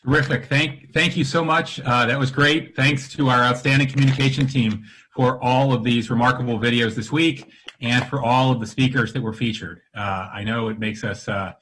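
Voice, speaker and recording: A man presiding over a council session on a video call, running procedure in a brisk, formal way. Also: mid-range voice, steady tone, a bit bright, a little hiss or hum, low-quality recording. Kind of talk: formal meeting chairing.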